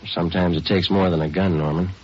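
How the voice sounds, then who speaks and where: An actor playing a calm, patient police detective who narrates his case tersely and matter-of-factly in a 1950s radio police drama. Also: low-pitched, fast talker. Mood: patient, firm. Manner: terse, deadpan, matter-of-fact. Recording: dull vintage radio recording with music.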